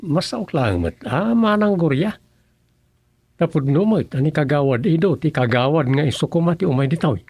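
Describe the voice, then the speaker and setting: A man's voice: on the high side, lively intonation, normal speed, a little mellow, slightly rough, no echo. An actor with an Ilocano Filipino accent playing a chatty, meddling village man in a comedy radio drama. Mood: chiding.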